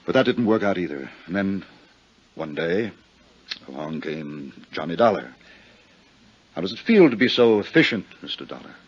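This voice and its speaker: A middle-aged American murderer in a 1950s radio drama, lovesick and bitter. The voice is deep and rough, halting yet earnest. The vintage recording sounds muffled. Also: male voice, sing-song, full character voice. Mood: bitter confession.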